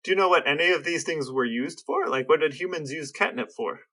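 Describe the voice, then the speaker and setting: Bright-voiced man sharing facts. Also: fairly high pitch, brisk. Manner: explains facts at length, dry jokes. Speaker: podcast co-host. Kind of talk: casual chat.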